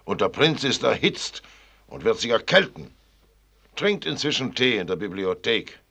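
A man's voice: lowish voice, sing-song, normal speed, vocal fry, bright, forceful tone, husky, full character voice. An actor in a German radio play voicing a blunt, fussy older father who grumbles in a clipped manner. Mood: fussing, scolding.

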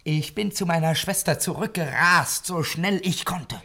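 A man's voice: fairly high pitch, measured pace, bright, forceful tone, exaggerated voice. An actor playing a nervous male thief who stammers and pleads as he confesses, in 1950s radio drama style. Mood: nervous confession.

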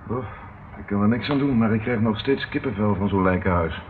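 An actor playing a stern, impatient police inspector in a radio play, speaking in a dry, businesslike way. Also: male voice, fairly low pitch, fairly quick, natural voice. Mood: brisk, pondering. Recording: muffled, noisy old radio recording.

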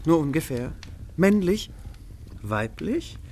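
A man playing an excitable, blunt friend and confidant in a literary radio drama, insistent and incredulous, with old-fashioned diction. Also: fairly high pitch, slow, full character voice. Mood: probing.

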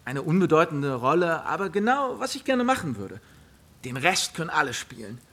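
An actor playing an insistent, enthusiastic young gentleman in a German radio drama. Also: on the high side, sing-song, moderate pace, full character voice. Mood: eager, persuasive. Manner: insistent, opinionated. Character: young gentleman, bossy, enthusiastic eldest brother organising amateur theatricals.

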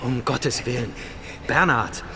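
A man's voice: mid-range voice, takes it slowly, close to an everyday voice. A man acting a concerned, helpful brother of a fugitive in a radio drama. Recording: noticeable ambient noise behind the voice.

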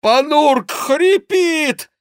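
A man's voice: very high-pitched, expressive, takes it slowly, a bit bright, dry recording, studio quality, voice pushed up, heavily acted. A man voicing a panicky, whining monk in an audiobook, crying out plaintively and full of grievance. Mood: desperate wailing. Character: panicky, whining monk, clumsy rider, aggrieved and fearful. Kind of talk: audiobook character voice.

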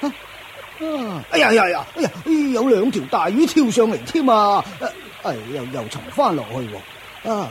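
Pompous forest master, radio play, swooping intonation, lecturing grunts, faint noise. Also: male voice, sits high, sing-song. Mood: startled. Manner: lecturing, punctuated with drawn-out fillers and grunts. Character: pompous, strict Taoist-style master of a forest of ascetics. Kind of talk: Cantonese Buddhist radio drama acting.

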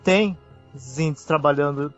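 A man's voice: on the high side, unhurried, a bit bright, highs cut off, faint music behind. A man with a Brazilian accent talking casually as a panelist on a fan podcast.

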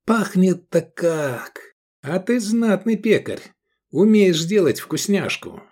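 A man's voice: high voice, big pitch swings, slow pace, a little mellow, pitched above the performer's own voice, exaggerated voice. A man voicing a brash, naive young gladiator in an audiobook, cheeky and exclamatory. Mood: delighted.

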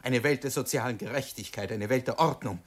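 A man's voice: medium pitch, fairly quick, close to an everyday voice. An actor playing a defiant, idealistic young man in a German radio drama, declaiming about social revolution.

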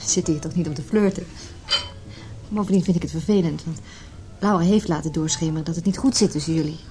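A woman's voice: sits low, quick pace, warm tone, faint music behind, close to an everyday voice. An actress playing an earnest, frank married woman in a radio play. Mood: reproachful, earnest. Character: sincere, earnest married woman named José.

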